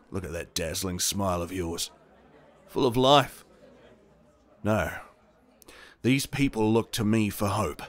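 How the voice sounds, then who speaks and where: A man's voice: on the low side, slow, pauses often. An actor playing an earnest, self-doubting man, a reluctant leader in a sci-fi audio drama. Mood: tender, resigned.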